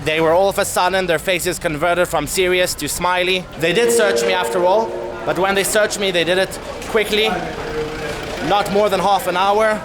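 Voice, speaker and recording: A young man telling a travel story to camera as a vlogger, candid and animated, swearing casually, outdoors in a city street. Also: sits high, normal speed, background noise. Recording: music playing under the voice.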